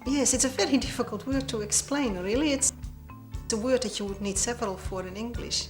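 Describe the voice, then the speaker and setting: A woman's voice: a little high, a little fast, soft background music. An older woman, thoughtfully explaining a word while searching for the right phrasing. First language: Dutch. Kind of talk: casual anecdote. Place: studio, plain backdrop.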